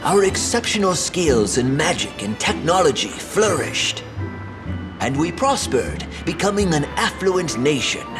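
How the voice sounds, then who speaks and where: A man's voice: fairly high pitch, sing-song, measured pace, music in the background. A man voicing an elderly, scholarly royal tutor in a fantasy game, lecturing in a pompous, theatrical way. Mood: proud, lecturing. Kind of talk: fantasy video game voice acting.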